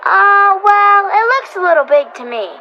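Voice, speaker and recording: A woman's voice: very high voice, expressive, moderate pace, dull audio, slight background noise. A woman playing a sweet, polite, earnest teenage girl in radio comedy style, hesitant and wholesome. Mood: polite, helpful. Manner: hesitant, golly-gee wholesome. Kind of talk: old-time radio comedy acting.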